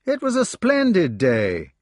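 A man's voice: fairly high pitch, big pitch swings, moderate pace, no room sound, studio quality, voice pushed up. A man voicing an eager, enthusiastic youngest brother who is a young knight. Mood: excited. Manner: breathless enthusiastic storytelling.

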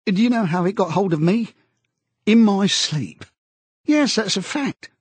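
A man's voice: high voice, animated, a little slow, husky, no echo, voice pushed up, exaggerated voice. A man voicing a pudgy, naive, servile prisoner in an audiobook, eager and stammering. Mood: sanctimonious, confiding. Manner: pleading, blubbering, stammering, sententious, old-chap British idiom.

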